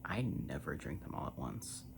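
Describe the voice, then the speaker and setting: A soft-spoken ASMR creator rambling casually and meandering, trailing off toward near-whispers. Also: sing-song, moderate pace. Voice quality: soft, low, creaky voice. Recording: noisy recording with tapping and humming. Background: hum, tapping and scratching sounds.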